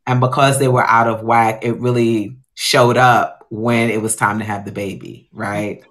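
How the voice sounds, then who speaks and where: A woman's voice: a bass voice, level tone. Guest: woman chef, late 40s, from Guyana, candid storyteller on a video call at home. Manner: candid, storytelling, drawn-out emphasis. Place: home room.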